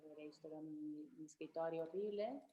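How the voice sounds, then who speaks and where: Low-voiced woman on an echoey video call. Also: normal speed, dark tone, highs cut off. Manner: chatty, fumbling with her screen as she talks. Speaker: guest speaker, magazine editor. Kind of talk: casual conversation.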